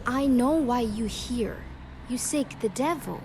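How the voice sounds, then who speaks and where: Young Argentine maid turned informant, Spanish accent, higher voice, quietly bold, radio play with faint noise behind. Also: female voice. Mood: knowing, confiding. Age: young adult. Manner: halting, clipped non-native phrasing. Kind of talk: audio drama acting.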